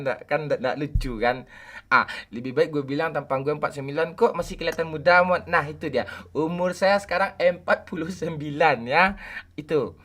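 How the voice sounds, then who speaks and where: Bright-voiced man in his forties streaming. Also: medium pitch. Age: 40s. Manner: joking, teasing banter with chat. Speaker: game livestreamer. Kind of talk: casual livestream chat, greeting viewers. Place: home room at night.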